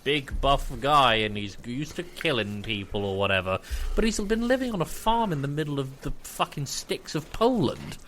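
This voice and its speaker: A man chatting casually as a podcast host, retelling and reviewing in an animated way. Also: medium pitch, normal speed, a little hiss or hum.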